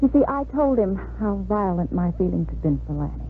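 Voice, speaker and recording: Casting: a young bride-to-be in vintage radio drama, resigned and wry, heard through a thin, noisy old recording. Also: female voice, medium pitch, big pitch swings, brisk, phone-quality sound. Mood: wry, flat resignation. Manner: wry, world-weary. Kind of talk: 1950s radio drama acting.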